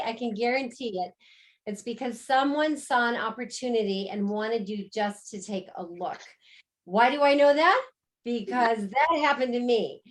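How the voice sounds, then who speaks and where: A middle-aged woman from the United States pitches a business opportunity over a video call. She speaks warmly and with upbeat sales energy, and her voice sits a little above the usual pitch for a woman. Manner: warm, upbeat sales storytelling. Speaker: co-host and business presenter.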